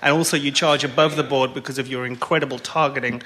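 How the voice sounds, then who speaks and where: A man panelist at a conference, quick to interject and argue his point. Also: mid-range voice, fairly even intonation, pushed and bright.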